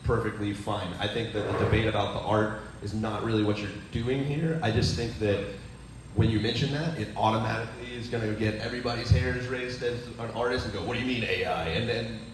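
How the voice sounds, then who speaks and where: A man's voice: fairly low pitch, steady tone, a little fast. A man in the audience giving long, thoughtful feedback with many fillers and restarts. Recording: very echoey room, distant mic, faint noise.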